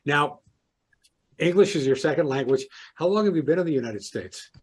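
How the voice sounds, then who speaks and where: Man, interviewer, husky voice, encouraging, conversational. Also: a little high, lively intonation, normal speed. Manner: encouraging, affirming.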